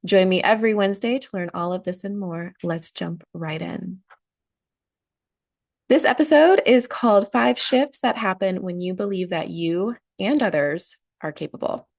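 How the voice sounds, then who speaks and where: A woman's voice: mid-range voice, normal speed, vocal fry, no room sound, studio quality. A woman hosting her own podcast, talking earnestly and with emphasis while nasal from a cold. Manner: earnest, emphatic, repeats key phrases. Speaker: podcast host. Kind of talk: solo motivational podcast talk.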